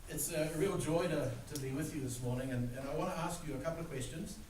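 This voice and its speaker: A man's voice: on the high side, quick pace. Older kiwi guy lecturing, lots of uh's, echoey room, mic far off, noisy. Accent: New Zealand. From New Zealand. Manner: relaxed, joking, with many "uh" fillers. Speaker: guest speaker. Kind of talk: lecture to students. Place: large room.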